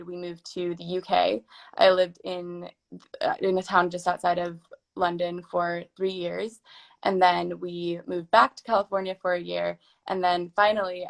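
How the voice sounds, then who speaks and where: Low, flat, gravelly-voiced young woman. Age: 20s. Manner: relaxed, chatty storytelling. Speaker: film producer guest. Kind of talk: casual interview chat. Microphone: live video stream from home.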